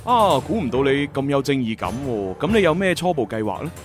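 A man acting an animated young secret agent. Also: mid-range voice, a little fast, lightly acted. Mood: matter-of-fact. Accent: Hong Kong Cantonese. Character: earnest, bumbling young time-travelling male agent. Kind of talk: radio drama acting. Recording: music bed under the dialogue.

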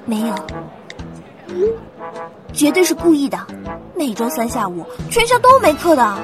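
A young female law student as a woman acts her in an audio drama. Her voice sits high, earnest and plaintive, with music playing clearly behind it. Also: very animated, a little slow. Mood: grumbling, reluctant. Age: young adult. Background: music with guitar.

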